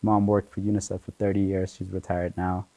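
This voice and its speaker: Man from ethiopia, forties, low mellow voice, dry close sound, chatty storyteller who laughs often. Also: level tone, brisk, dry recording. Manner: chatty, laughs often, many fillers like "you know". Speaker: guest. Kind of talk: relaxed conversational storytelling.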